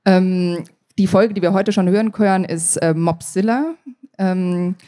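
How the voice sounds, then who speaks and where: A woman's voice: on the low side, measured pace, mellow. A woman in her thirties, an author talking conversationally as a guest in a live stage interview. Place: stage at a book fair. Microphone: handheld microphone.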